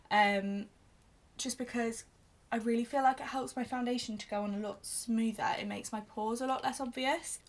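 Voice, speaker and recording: A young woman with a British accent talks casually to her camera, in a voice of middling pitch. She is chatty and self-deprecating, with plenty of "um" and "like" as she goes along. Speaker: beauty vlogger. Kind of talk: casual chat while doing makeup on camera. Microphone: camera in front of her.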